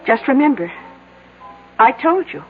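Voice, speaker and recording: Breezy, high-voiced small-town innkeeper. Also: female voice, big pitch swings, measured pace, full character voice. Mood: warning. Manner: breezy, folksy, wry. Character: chatty, teasing small-town innkeeper woman, plainspoken. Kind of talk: old-time radio drama acting. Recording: tinny vintage radio drama, music behind.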